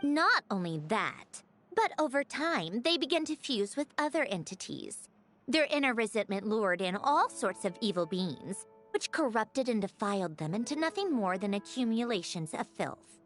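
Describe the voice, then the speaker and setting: A woman's voice: a little high, sing-song, moderate pace, fairly bright tone, soft background music. An actress voicing a self-assured, clever young woman in a video game, explaining things in a confident, dryly teasing way. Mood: thoughtful. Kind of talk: game voice acting.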